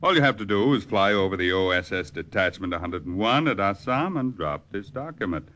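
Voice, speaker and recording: A confident army colonel from a vintage radio drama. His low voice is bright and forceful, with brisk, assured authority. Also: expressive. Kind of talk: 1950s radio adventure acting.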